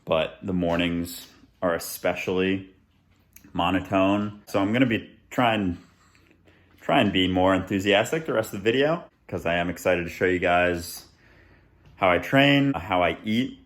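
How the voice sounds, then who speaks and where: A young man in his twenties talking casually through his day in a vlog, with a flat, monotone delivery. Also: low-pitched, measured pace. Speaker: vlogger. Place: apartment kitchen table and backyard.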